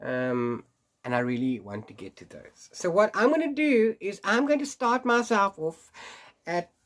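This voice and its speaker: A man's voice: high-pitched, sing-song, unhurried, fairly bright tone. Congested, chatty man. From South Africa. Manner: chatty and rambling, frequent restarts and little laughs. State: congested from hay fever, sniffly. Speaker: book vlogger. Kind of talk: casual chat to camera.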